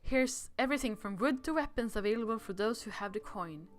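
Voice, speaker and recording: A woman's voice: a little high, lively intonation, a little fast. A woman on a livestream playthrough, chatting casually and reading aloud in a playful, giggly manner. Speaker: livestream game player.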